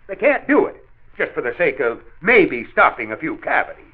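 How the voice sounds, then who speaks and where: A man's voice: on the high side, sing-song, normal speed, breathy edge, phone-quality sound. A man playing a stubborn but affectionate grandfather in a 1940s radio drama, folksy, blustery and mock-stern. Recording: band-limited old 1940s radio recording.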